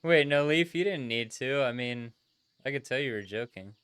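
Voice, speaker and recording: A man's voice: medium pitch, animated, normal speed, a bit bright, clear, resonant tone, natural voice. A man voicing an earnest, sociable but self-doubting young trainer in a visual novel, casual and hesitant. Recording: music behind the voice.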